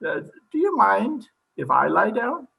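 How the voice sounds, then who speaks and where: A man playing an eccentric, absent-minded psychiatrist, halting and pompous, in old-time radio comedy style. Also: sits high, wildly swooping pitch, gravelly, bright, forceful tone, full character voice. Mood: musing.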